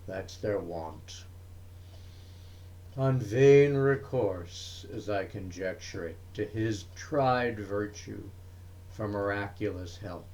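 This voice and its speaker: A man's voice: on the low side, a little mellow, exaggerated voice. A man voicing a learned, troubled ancient physician in a dramatic poem, speaking in a formal, pensive, emphatic manner.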